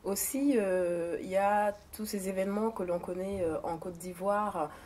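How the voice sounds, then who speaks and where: A woman's voice: medium pitch, fairly even intonation, a little slow, a little mellow. A woman interviewer who asks short questions and gives brief agreement.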